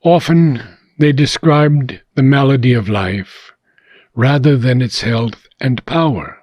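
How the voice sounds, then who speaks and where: A man reading a philosophical book aloud in a measured, deliberate way, pausing between short phrases, with a husky voice. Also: mid-range voice, darkish tone, no echo. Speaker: audiobook reader.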